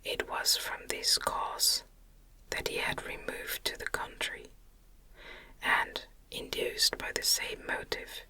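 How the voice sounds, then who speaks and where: Slow, bright whispering woman narrator. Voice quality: breathy, unvoiced whisper. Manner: gentle, soothing, deliberate. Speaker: audiobook reader. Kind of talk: ASMR whispered reading of a gothic novel.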